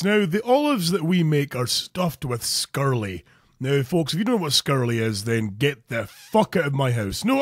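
A man's voice: a little high, dry recording, studio quality. A Scottish man hosting a crude comic cooking show, narrating recipes with jokes. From Scotland. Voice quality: crude jokey, animated delivery. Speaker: comedy cooking show host.

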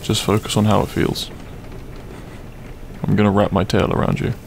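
A man playing a grumpy but tender half-dragon roommate in an intimate ASMR roleplay, gruff, sleepy and halting in a soft voice. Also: lowish voice, little pitch movement, a little hiss or hum. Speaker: roleplay voice actor. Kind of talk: intimate ASMR audio roleplay, comfort scene.

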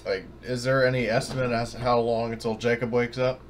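A man with a medium-pitched voice talks casually as a player in a tabletop game. It is an online call with faint noise behind him. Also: flat delivery, brisk.

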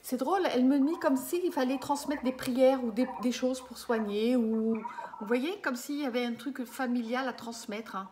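High-voiced hesitant French woman medium. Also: normal speed, a little mellow, slight background noise. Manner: hesitant, many fillers, checks in with the listener. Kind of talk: live reading session with a client. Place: small room indoors. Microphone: webcam at desk.

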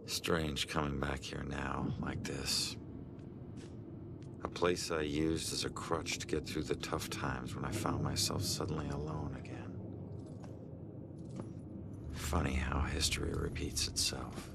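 An actor playing the brooding ghost of a murdered detective in a noir video game, low and gravelly. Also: male voice, little pitch movement, measured pace, pauses often, heavy background noise, full character voice. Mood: brooding, wistful. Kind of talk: noir game voice acting, inner monologue.

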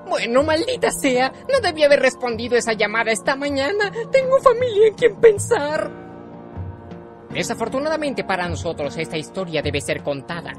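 A woman's voice: high voice, sing-song, normal speed, faint music behind. A woman voicing a rat who narrates a storybook, polite and theatrical but nervous and grumbling. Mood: flustered storyteller.

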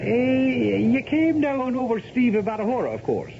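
A man's voice: very high voice, sing-song, moderate pace, gravelly, exaggerated voice. A man acting an ardent Irish storyteller in a 1970s radio drama, with a lilting, theatrical delivery. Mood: wistful storytelling. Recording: dull vintage radio broadcast with noise.

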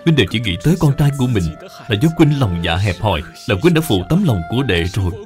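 A man's voice: medium pitch, sing-song, quick pace, music in the background, lightly acted. A man voicing a contrite elder brother in a cartoon, pleading and blaming himself. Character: ashamed, contrite elder brother in old China.

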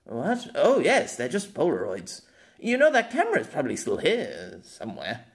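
A man voicing a flustered, gruff grey-haired male novelist in an audiobook, with a halting, stammering manner. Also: on the high side, huge pitch swings. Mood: distracted. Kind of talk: amateur audiobook character acting.

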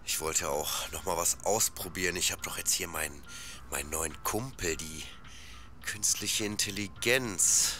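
A man in a casual audio drama, talking slangily and haltingly as he thinks aloud. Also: sits low, pushed and bright, faint music behind. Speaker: rapper playing himself in an audio drama.

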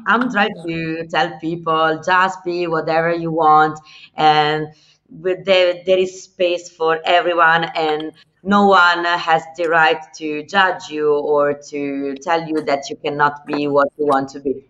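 A woman who stutters chats on a live stream in English, which is not her first language, repeating words as she goes. Her voice sits on the low side. The audio is dull and lo-fi. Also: level tone, takes it slowly. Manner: repeats words, warm and encouraging, laughs easily.

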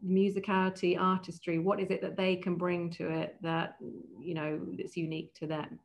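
A woman's voice: lowish voice, level tone, moderate pace. A woman who works as an opera casting director, talking as a guest on a video call interview, thoughtful and often restarting her sentences. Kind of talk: casual interview talk.

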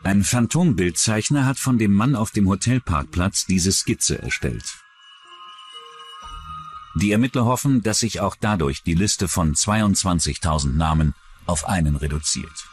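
Low-pitched male true-crime narrator. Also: expressive, measured pace, faint music behind. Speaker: documentary narrator.

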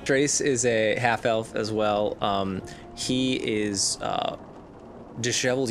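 A man playing a tabletop game, casually improvising a description of his character in a halting, jokey way. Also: fairly low pitch, background noise.

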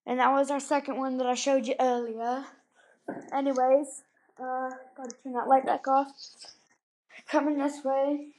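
High-voiced girl giving a bubbly house tour. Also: flat delivery, normal speed, pauses often, a little mellow. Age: child. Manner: bubbly, rambling, playful exclamations. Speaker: vlogger. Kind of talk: house tour vlog, casual chat. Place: dim house at night, indoors. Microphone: handheld phone camera.

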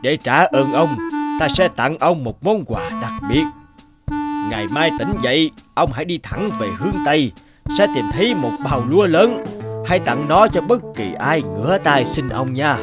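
A man's voice: on the high side, very animated, normal speed, loud music behind the voice. An actor playing a solemn, benevolent male temple god in a cartoon fairy tale. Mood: solemn, grateful.

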